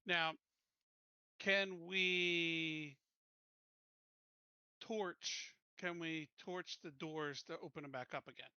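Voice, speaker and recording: A man's voice: fairly high pitch, fairly even intonation, extremely slow, pauses often, pushed and bright, smooth, no echo, lo-fi sound. A man playing a tabletop game, talking casually and tersely as he lays out plans in a matter-of-fact way. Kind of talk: casual game planning talk.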